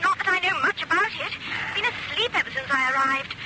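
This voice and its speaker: An actress playing a scatterbrained aristocratic wife in a radio drama, gushing. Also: female voice, very high voice, animated, a little fast. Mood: vague, breezy. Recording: very noisy radio drama recording.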